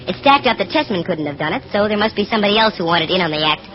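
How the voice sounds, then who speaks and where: An American actress playing a sharp-witted female private detective in 1940s radio style, breezy, slangy and wry. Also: mid-range voice, lively intonation, racing, natural voice. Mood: wry narrating. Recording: dull vintage radio recording with music.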